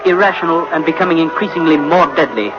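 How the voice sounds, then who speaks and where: Music runs clearly behind a male actor as a grim chronicler on a dull old radio recording. His voice is somewhat high, and he narrates disaster with portentous drama. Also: quick pace, lightly acted.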